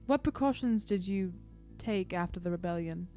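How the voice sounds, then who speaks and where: A woman's voice: lowish voice, moderate pace, dark tone. A woman playing a tabletop roleplaying game, chatting casually and frankly with some swearing. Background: faint music.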